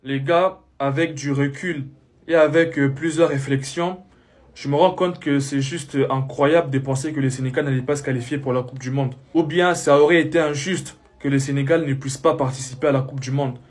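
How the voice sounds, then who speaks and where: A man's voice: on the high side, fairly even intonation, moderate pace. A man from the Congo, giving a casual football reaction full of repeated fillers. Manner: repetitive, leans on fillers like "voilà" and "hein".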